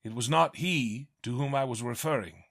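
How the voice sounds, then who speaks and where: An actor playing a loyal, tactful older butler, formal and politely pointed, in a scripted motion comic. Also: male voice, mid-range voice, moderate pace, creaky, fairly bright tone. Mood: polite, cautionary.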